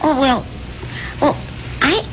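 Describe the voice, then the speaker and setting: A devoted, anxious American sweetheart in an old radio drama. Her fairly high voice rises and dips expressively as she tries to soothe. The recording is muffled. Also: lively intonation, slow pace, background noise. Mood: hesitant, placating. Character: devoted, anxious woman in love, eager to soothe. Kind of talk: 1970s radio drama acting.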